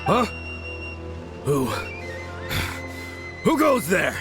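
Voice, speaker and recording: An actor playing a crazed, melodramatic man obsessed with manliness, strained and halting, in a video game. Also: sits high, big pitch swings, exaggerated voice. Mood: startled. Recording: music under the voice. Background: game music.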